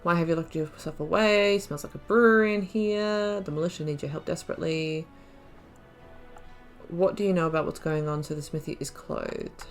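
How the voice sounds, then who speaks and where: Female let's play host, pitch a bit low, informal running commentary mixed with read-aloud dialogue options. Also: fairly even intonation, moderate pace, soft background music. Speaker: gaming video host.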